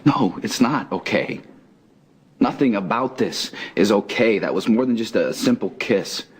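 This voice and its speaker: An actor playing a conflicted amnesiac man in a romantic drama, speaking earnestly and haltingly, often repeating his words. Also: on the low side, husky. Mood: frustrated. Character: anguished amnesiac man, conflicted, torn between two loves. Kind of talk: soap opera style romantic drama acting. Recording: loud music under the dialogue.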